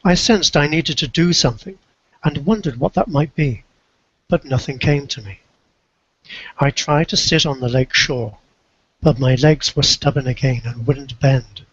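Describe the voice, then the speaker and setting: A man reading a first-person literary narrative aloud in a measured, reflective storytelling way, with a husky voice. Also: mid-range voice, fairly bright tone, muffled recording. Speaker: co-reader of a book.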